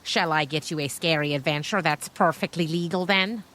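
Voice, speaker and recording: Woman as a low-voiced, salty male ghost cat. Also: moderate pace, a bit bright. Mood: sarcastic. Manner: scathing, muttering. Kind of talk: audio storybook character voice.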